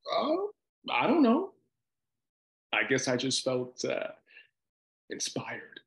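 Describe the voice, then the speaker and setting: Man acting evasive husband, lively swinging pitch. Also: takes it slowly, vocal fry, heavily acted. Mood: evasive, upbeat. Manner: hesitant, deflecting, playful. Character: evasive, hungry husband in his forties. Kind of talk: screenplay table read. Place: indoor room on a video call. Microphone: video call microphone.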